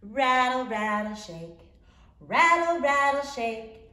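Very slow, sing-song woman in echoey room. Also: sits high, big pitch swings, pushed and bright. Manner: slow, drawn-out, deliberate phrasing for young children. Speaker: early learning teacher reading aloud. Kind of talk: sing-song children's picture book read-aloud. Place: indoor room in front of a display board. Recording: roomy, echoing indoor sound.

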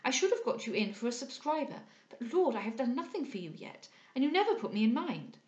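A woman's voice: a little high, sing-song, brisk, roomy sound, highs cut off. A woman voicing a careless, gushing society lady with an offhand, exclamatory manner in an audiobook. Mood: breezy, gushing. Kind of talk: dramatised audiobook character voice.

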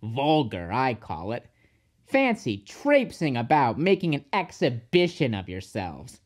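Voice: medium pitch, huge pitch swings, unhurried. A storybook narrator voicing a pompous, haughty, grumbling old male engine. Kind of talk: children's storybook character voice.

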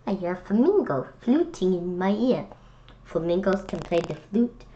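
Woman doing a kids read-aloud, playful and super lively pitch, kinda muffled lo-fi audio. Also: slight background noise. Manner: expressive, playful, halting at times on words. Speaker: children's read-aloud host. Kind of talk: animated picture-book read-aloud with playful asides. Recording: dull, lo-fi sound.

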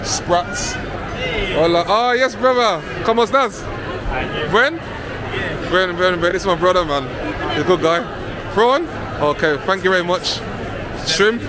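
A man's voice: sits high, a little slow, pauses often. A man vlogging a walking tour through a crowded indoor food market, exclaiming eagerly and repeating his words as he tastes food. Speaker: travel vlogger. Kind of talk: casual walking-tour chat. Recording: busy market crowd behind. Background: loud crowd chatter.

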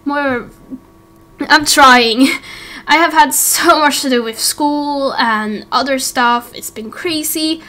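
Very high-voiced young woman, scattered and chatty. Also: slow pace, sharp tone. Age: late teens, young adult. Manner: scattered, giggly, self-interrupting. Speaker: YouTube roleplay series creator and host. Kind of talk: casual rambling update to viewers.

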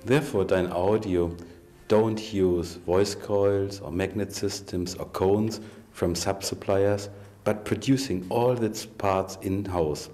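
Middle aged business guy giving a prepared statement, really low dark voice, slightly halting. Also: fairly even intonation. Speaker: company president and chief executive. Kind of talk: prepared corporate interview statement. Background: faint music.

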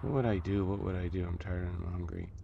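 A man's voice: low voice, level tone, fast, lo-fi sound. A man giving casual, improvised gameplay commentary, muttering playfully to himself. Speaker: gameplay host. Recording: clear background noise.

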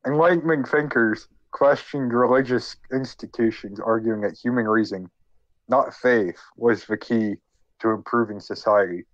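A man podcast co-host who is sick, explaining history informally in a halting, jokey way. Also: mid-range voice, dry recording, highs cut off. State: sick with a cold.

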